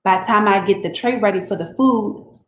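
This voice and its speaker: A woman voicing a weary, abused teenage country girl who confides in God, speaking in a plain rural dialect, quiet and resigned. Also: mid-range voice, fairly quick, close to an everyday voice. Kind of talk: dramatic reading of letters. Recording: roomy room echo.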